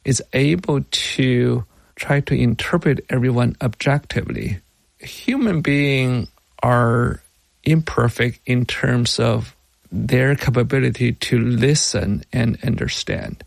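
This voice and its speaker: A middle-aged man from China with a Chinese accent, a non-native English speaker explaining his work as a tech founder in an interview, with halting, deliberate phrasing. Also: mid-range voice, vocal fry, no echo. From Beijing, China.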